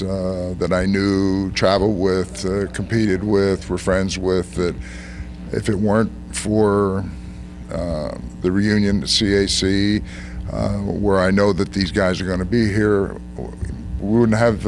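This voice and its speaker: An older man answering interview questions in a measured, thoughtful way, his voice slightly hoarse. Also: deep voice, flat delivery, darkish tone, slight background noise. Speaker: interview guest. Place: convention hotel room. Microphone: handheld mic held by interviewer.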